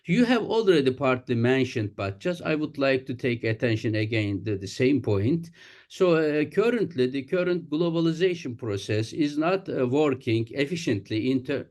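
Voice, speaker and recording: A man asking a panelist a formal question, halting with many fillers. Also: medium pitch, darkish tone. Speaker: audience member asking a question.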